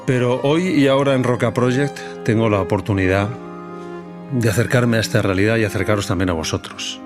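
A middle-aged man hosting a podcast, speaking in a slow, deliberate, reflective way. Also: lowish voice, over music. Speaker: podcast host. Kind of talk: reflective monologue and interview.

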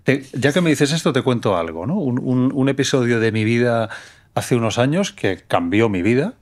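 A man's voice: mid-range voice, animated, normal speed. Reflective Spanish man hosting a chat. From Menorca, Spain. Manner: reflective, tells personal stories at length. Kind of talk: conversational interview.

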